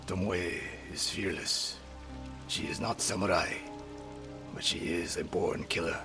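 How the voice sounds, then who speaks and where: An actor playing a stern, proud older samurai archery master in a video game, speaking in curt, commanding phrases. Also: male voice, sits low, steady tone, measured pace, over music. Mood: grave. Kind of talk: dramatic video game acting.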